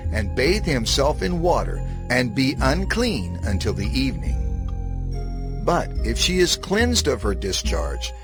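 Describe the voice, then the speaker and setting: A man narrating scripture from a script in a measured, formal manner. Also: mid-range voice. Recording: prominent music bed under narration. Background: music.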